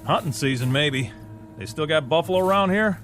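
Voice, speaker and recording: Wisecracking young corporal. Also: male voice, on the high side, animated, brisk, fairly bright tone, faint music behind. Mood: teasing. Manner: teasing, wisecracking. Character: joking modern National Guard corporal.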